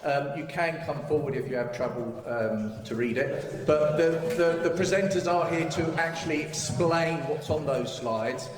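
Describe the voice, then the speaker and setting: Male seminar host, slightly higher voice, roomy hall with audience. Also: normal speed, hardly any pauses, a crowd in the background. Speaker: event organiser. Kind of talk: announcing at a seminar. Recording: echoey hall with audience around.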